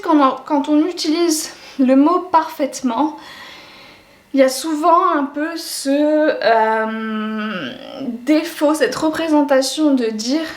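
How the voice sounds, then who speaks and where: A young woman talking to camera for her educational channel, thinking aloud and hesitating often. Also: high-pitched. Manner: reflective, with frequent hesitations. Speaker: educational YouTuber. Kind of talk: explanatory talk to camera. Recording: echoey room.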